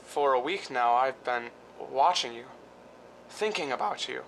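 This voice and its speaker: A man acts a brooding, volatile husband from an old-style suspense radio play. The voice is mid-pitched with a bright, tense edge, and the delivery halts. Slight rumbling noise runs underneath. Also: expressive, measured pace, gravelly. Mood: halting, longing. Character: handsome, weak, violent-tempered husband, a former lifeguard. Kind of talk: 1940s-style suspense radio drama acting. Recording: noisy recording with low rumble.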